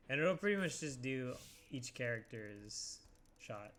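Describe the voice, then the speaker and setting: A man's voice: medium pitch, animated, slow pace, fairly bright tone. A young man with a congested, scratchy throat, rambling through casual gameplay commentary with lots of fillers and occasional coughs. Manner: rambling, hesitant with many fillers. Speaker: let's play host. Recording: game music behind voice.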